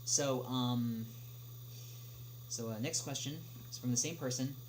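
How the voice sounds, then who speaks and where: A young man answering questions in a casual livestream chat, speaking haltingly with many drawn-out filler pauses. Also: medium pitch. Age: young adult. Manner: halting, hesitant delivery with frequent long filled pauses. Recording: clearly noisy, humming background.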